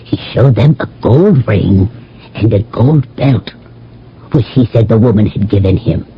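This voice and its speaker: A man's voice: fairly low pitch, animated, measured pace, husky, full character voice. A man playing a devoted, philosophical slave of antiquity in a radio drama, speaking in a formal, dramatic storytelling manner. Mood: grave, urgent. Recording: dull old radio, faint music.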